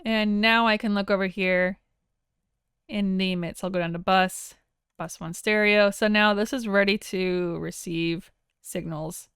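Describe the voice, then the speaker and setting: Very clear woman giving an informal tutorial. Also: mid-range voice, steady tone, measured pace, fairly bright tone, no room sound, clean studio sound. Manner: explanatory, plain-spoken. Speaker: audio software instructor. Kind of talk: informal screen tutorial narration.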